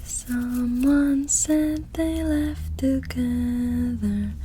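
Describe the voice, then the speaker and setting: A woman doing ASMR, singing softly and gently in a breathy voice that often drops into a whisper. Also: high voice, drawn-out words, a little hiss or hum. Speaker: ASMR performer.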